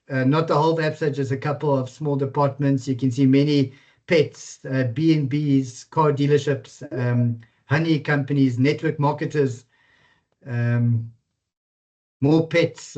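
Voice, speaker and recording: A man casually presenting his business software on a webinar, with many ums and uhs. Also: medium pitch. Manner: casual, filler-heavy. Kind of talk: product presentation. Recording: dull lo-fi video-call audio.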